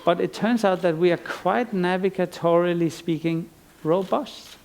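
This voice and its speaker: A man's voice: sits high, moderate pace, a little hiss or hum. A middle-aged man from Iceland giving a conference lecture as an artist, thoughtful and rambling, with frequent restarts and fillers. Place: lecture stage.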